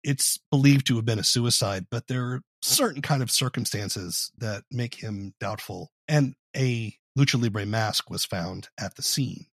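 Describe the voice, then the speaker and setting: A man interviewing on a podcast, explaining and commenting in a conversational, measured way. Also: mid-range voice, no echo.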